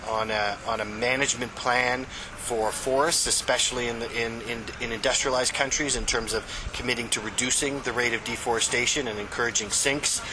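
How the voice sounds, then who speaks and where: A man with a Canadian accent giving measured, explanatory interview answers, sometimes halting as he searches for words. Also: medium pitch. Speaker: interviewed expert, advocacy executive director. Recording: noisy outdoor urban location.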